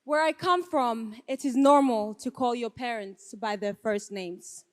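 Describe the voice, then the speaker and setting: Brief: woman from Ethiopia, deliberate live storyteller, slow and high-ish, reverberant hall. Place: stage before a live audience. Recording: long hall reverb on stage.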